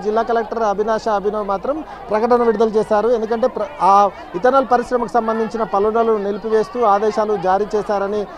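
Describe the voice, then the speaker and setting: A male field reporter with a high-pitched voice delivers a live update outdoors on a road, holding a handheld mic. He talks steadily, filling pauses with hesitation sounds. A faint crowd murmurs behind him. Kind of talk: live news report from a protest.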